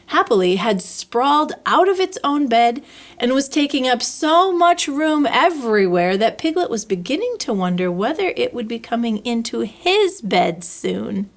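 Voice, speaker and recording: Expressive woman reading a storybook, lively pitch. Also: normal speed. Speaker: library story reader. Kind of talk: children's storybook narration.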